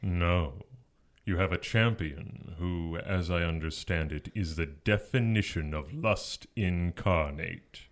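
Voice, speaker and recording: A man's voice: deep voice, takes it slowly. A man playing a smug, taunting, seductive fallen angel in an audio drama, with a teasing, sardonic drawl.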